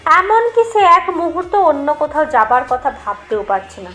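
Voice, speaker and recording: A woman narrates a mysterious tale in a notably high voice. Her delivery is scripted and dramatic, with measured, expressive phrasing suited to an audio storybook. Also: soft background music.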